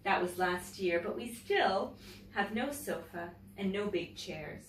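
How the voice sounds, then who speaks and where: A woman teacher reading a picture book aloud to children in an expressive storytelling manner, pausing to comment and ask questions. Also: mid-range voice. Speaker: teacher and story-time host. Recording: roomy, distant mic, faint background noise.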